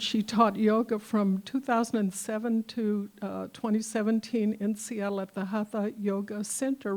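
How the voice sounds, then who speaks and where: A woman's voice: medium pitch, darkish tone. A woman hosting a community arts gathering, reading out a guest's biography with small slips and fillers. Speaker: host introducing a speaker. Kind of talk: reading a speaker's bio aloud. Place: community meeting room.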